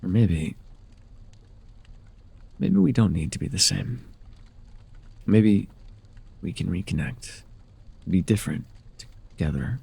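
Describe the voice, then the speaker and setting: A man performs a forgotten god of fantasy audio drama, sorrowful and alone. The voice sits very deep, full of heavy gravel and worn down to a husky breath. The words come haltingly, as if brooding aloud. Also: animated, warm tone, no room sound, full character voice. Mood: mournful, brooding. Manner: slow, halting, brooding delivery with sighs. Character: forgotten lonely male former god, sorrowful and wounded. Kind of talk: ASMR audio roleplay monologue.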